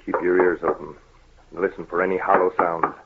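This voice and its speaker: An actor playing a resolute gentleman investigator in a 1930s radio mystery, brisk and commanding. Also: deep voice, level tone, gravelly. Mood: determined. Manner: brisk, commanding, self-assured. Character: resolute, fearless gentleman investigator leading his servant. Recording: muffled, noisy 1937 radio recording.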